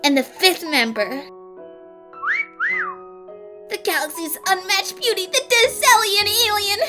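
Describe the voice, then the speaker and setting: A woman voicing an excitable, theatrical young woman in a fan-dubbed detective cartoon, speaking dramatically. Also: extremely high pitch, measured pace, soft background music, heavily acted. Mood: gushing, dramatic. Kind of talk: fan dub voice acting.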